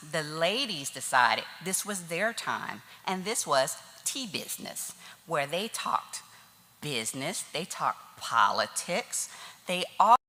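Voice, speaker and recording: A woman's voice: low-pitched, pushed and bright. A woman giving a chatty, nervous talk to an audience at a church tea event. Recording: hall reverb.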